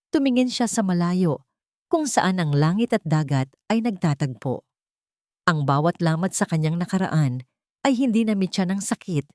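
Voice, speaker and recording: A woman narrating a melodramatic audio story in a scripted storytelling style. Her delivery is expressive, and her pitch swings up and down for emphasis. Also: dry recording, studio quality.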